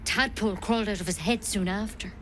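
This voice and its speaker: An actress playing a practical woman druid healer in a video game, with a brisk, plain-spoken bedside manner. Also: medium pitch, a bit bright, a little hiss or hum, lightly acted. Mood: concerned.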